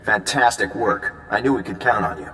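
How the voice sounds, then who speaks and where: An actor playing an easygoing, smooth-talking, flirtatious male intelligence agent in a video game, casual and breezy. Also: lowish voice, expressive, moderate pace, bright, forceful tone. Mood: upbeat. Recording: long reverb, faint background noise.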